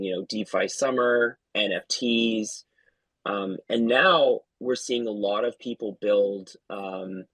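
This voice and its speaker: Founder guy, kinda low voice, eager and quick bursts, dry sound. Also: dry recording, low-quality recording. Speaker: company founder guest. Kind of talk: casual chat.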